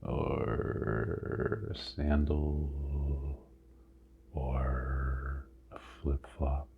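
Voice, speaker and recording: Tinny noisy recording, man, extremely slow ASMR body scan guide, fry-laden voice, hushed, long pauses. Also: very deep voice, little pitch movement. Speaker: meditation guide. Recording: muffled phone-like sound with audible noise.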